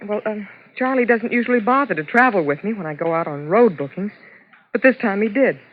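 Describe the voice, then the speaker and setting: A muffled, faintly hissy old radio play carries the voice of a frightened married dancer played by an actress. She keeps herself composed, speaking in a measured, hesitant way. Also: mid-range voice, expressive, close to an everyday voice. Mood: anxious, composed. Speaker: radio actress in crime drama. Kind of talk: 1950s radio suspense acting.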